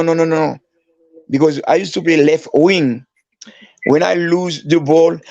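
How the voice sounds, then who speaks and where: An older man from Haiti, a former player and coach, telling stories in halting, broken English, often restarting his phrases. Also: sits high, gravelly, no echo, rough recording. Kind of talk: casual storytelling.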